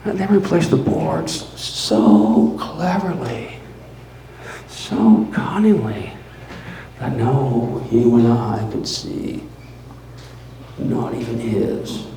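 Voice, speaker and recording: A man playing a boastful, unraveling mad murderer in a theatrical live reading of gothic horror, with dramatic pauses and swings between hushed and frantic. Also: mid-range voice, vocal fry. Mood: sly, gloating. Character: gleeful, boastful, unraveling mad murderer confessing his crime. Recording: echoey room with background noise.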